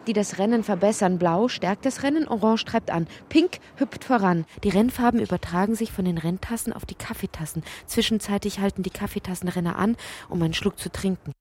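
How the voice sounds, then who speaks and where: A woman playing a whimsical narrator in a literary radio play, with a husky voice. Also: mid-range voice, a little fast, a little mellow, a little hiss or hum, lightly acted. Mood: brisk, animated.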